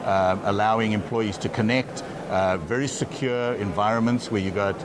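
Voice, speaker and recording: A man's voice: fairly low pitch, fairly even intonation, normal speed, noisy background. A man talking as a company executive in a trade show interview, often stumbling and repeating words at the start of his phrases.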